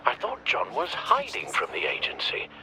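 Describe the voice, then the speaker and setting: A man playing a cautious, concerned adviser to a crime fighter in a video game, speaking in measured warnings. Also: fairly high pitch, wildly swooping pitch, background noise.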